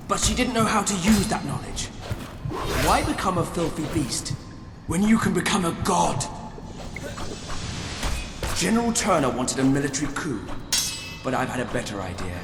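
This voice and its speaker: Grandiose usurping commander, echoing hall. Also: male voice, on the high side. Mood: cold, scheming. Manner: grandiose, menacing monologue. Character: fanatical male military commander, a scheming usurper of a crown. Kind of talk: dramatic video game villain acting. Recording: echoey hall, distant, loud music behind.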